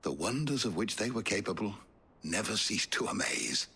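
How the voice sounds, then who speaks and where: An actor playing an awed, learned scholar in a video game, very raspy, with an erudite and reflective manner. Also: male voice, fairly low pitch, lively intonation, normal speed, sharp tone, exaggerated voice. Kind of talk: video game cutscene acting.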